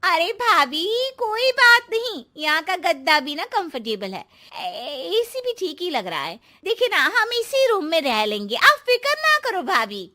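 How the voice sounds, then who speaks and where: A woman's voice: very high-pitched, big pitch swings, unhurried, hardly any pauses, sharp tone, voice pushed up, exaggerated voice. A woman voicing a pushy, shameless young married houseguest in a cartoon story, gushing and cajoling with a sense of entitlement. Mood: breezy, insistent. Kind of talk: animated cartoon story dialogue.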